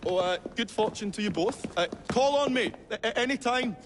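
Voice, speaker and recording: A man's voice: high voice, normal speed, in a large hall, slight background noise, close to an everyday voice. A man voicing a flustered, fussy, polite Victorian inventor who stammers in a game cutscene. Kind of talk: video game cutscene acting.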